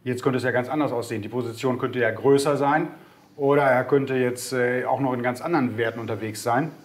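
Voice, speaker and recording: A man's voice: medium pitch, steady tone, brisk. A man co-hosting a casual market chat, enthusiastic and opinionated, often ending remarks with a questioning tag. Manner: enthusiastic, bold opinions, frequent "ne?" tags. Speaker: co-host of a broker's market show.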